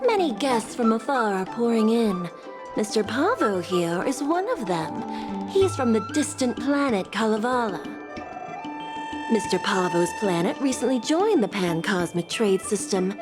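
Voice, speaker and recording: A woman's voice: on the high side, big pitch swings, measured pace. A woman voicing a polite, poised court secretary in a video game cutscene, speaking in a courteous and formal way. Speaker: palace secretary. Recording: game cutscene over music. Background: background music.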